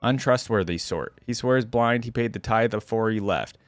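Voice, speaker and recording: A weathered old harpooner played by a male narrator tells his tale over a drink in a storybook reading. The voice is fairly low and dry, with chatty, rustic pirate-like turns of phrase. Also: moderate pace, dry recording, close to an everyday voice. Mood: reminiscing. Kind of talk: dramatic reading of a first-person sea tale.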